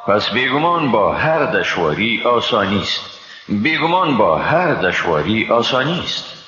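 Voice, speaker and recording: A man with an Afghan Dari accent reads a scripture translation in a solemn, measured, formal and expressive way. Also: fairly low pitch. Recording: muffled, echoey reverb, hissy background.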